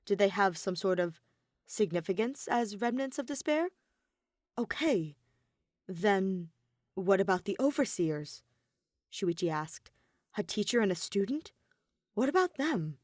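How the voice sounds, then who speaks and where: Female podfic narrator, mid-pitched, expressive storytelling, close dry sound. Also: measured pace, pauses often, no echo. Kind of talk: expressive fan-fiction narration.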